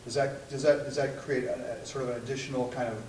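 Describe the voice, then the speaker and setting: A man's voice: medium pitch, steady tone, fast talker. A man interviewing someone informally, with hesitant, roundabout phrasing. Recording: echoey, far from mic, faint noise.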